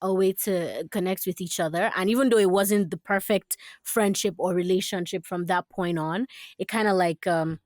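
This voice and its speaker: A woman's voice: on the low side, normal speed, a little mellow, no room sound, studio quality. A woman voice actor talking casually and reflectively as an interview guest, in a thoughtful, conversational manner.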